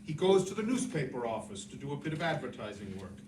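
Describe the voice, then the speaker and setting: A man's voice: medium pitch, big pitch swings, fast, natural voice. A man playing a genial, self-satisfied Irish novelist who tells his story to an audience with chatty, wry asides. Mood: wry, storytelling. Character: James Joyce, a genial, boastful, digressing Irish novelist. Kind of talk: theatrical storytelling monologue to an audience. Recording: echoey, distant, noisy room recording.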